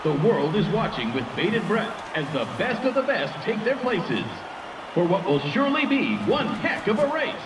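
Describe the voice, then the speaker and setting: An actor playing an excitable male race announcer with a grandiose, showy delivery in a video game. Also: high voice, moderate pace, reverberant, far from the mic, noisy background. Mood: hyped, grand. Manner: grandiose, showman-like. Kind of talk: theatrical sports commentary.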